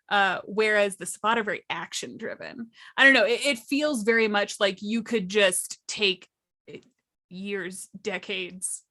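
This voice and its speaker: A woman on a casual gaming panel, speaking expressively and thoughtfully, with pauses to find her words. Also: medium pitch, a bit bright.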